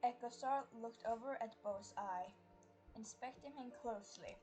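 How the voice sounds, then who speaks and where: A woman's voice: on the high side, normal speed, a little mellow. A woman hosting and narrating an improvised story in a game roleplay, with music behind her voice.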